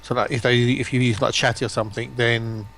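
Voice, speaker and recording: Male guest streamer, middle-pitched voice, casual conversational style. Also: little pitch movement, fast, fairly bright tone, slight background noise. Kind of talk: casual livestream chat.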